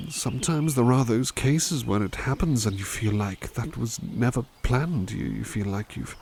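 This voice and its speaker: A man's voice: mid-range voice, darkish tone, a little hiss or hum. A man playing a kind, courteous butler who reads poems aloud and muses on them in a formal, polite way for an ASMR roleplay. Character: kind, courteous male butler reading to listener.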